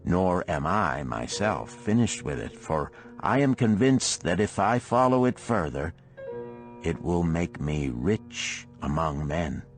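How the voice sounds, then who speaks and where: A man narrating an audiobook of parables and letters in a measured, expressive storytelling manner. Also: deep voice, soft background music.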